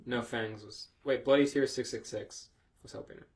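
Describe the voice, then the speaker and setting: A man's voice: medium pitch, fairly even intonation, normal speed. A man hosting a comedy podcast, reading fan fiction aloud and breaking off for joking, casual asides.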